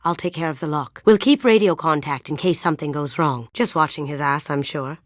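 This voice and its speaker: A confident young female thief from a video game , played by an actress, speaks in a low feminine register. She is sly and playful, with a hushed, self-assured manner. The sound is dry, with no room on it. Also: brisk, no room sound, close to an everyday voice. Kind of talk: game character voice lines.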